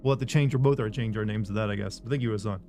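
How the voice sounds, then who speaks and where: A man's voice: on the low side, rapid-fire, dark tone, soft background music. Rambling male streamer chatting with viewers. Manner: rambling, self-deprecating joking with chat. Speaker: game streamer. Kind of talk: casual live-stream chat, reading out viewer messages.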